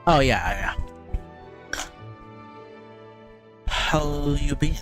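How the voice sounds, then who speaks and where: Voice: mid-range voice, expressive, drawn-out words, fairly bright tone, soft background music. A streamer with a Filipino accent giving casual, teasing reactions to a game.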